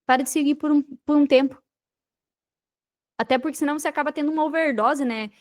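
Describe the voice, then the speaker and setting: A woman's voice: high-pitched, quick pace, no room sound. A Brazilian woman giving motivational talk as a podcast guest, emphatically repeating her points and speaking straight to the listener. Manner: emphatic, repeats phrases, addresses listener directly. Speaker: podcast guest, digital marketer.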